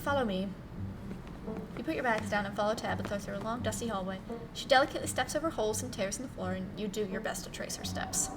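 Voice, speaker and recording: A woman streamer reading visual novel text aloud in an expressive, theatrical way and adding side comments. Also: mid-range voice, fast, hardly any pauses, far from the mic, background noise. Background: music.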